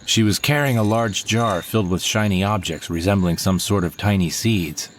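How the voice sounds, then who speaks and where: A man narrating a first-person audio drama in a wry, unhurried manner. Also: fairly low pitch, slight background noise.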